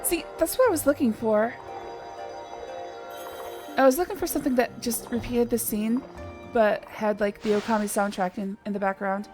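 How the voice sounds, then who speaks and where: A woman's voice: on the high side, moderate pace, long pauses. A woman casually chatting and rambling while playing a video game as streamer. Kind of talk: casual let's-play commentary. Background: game music.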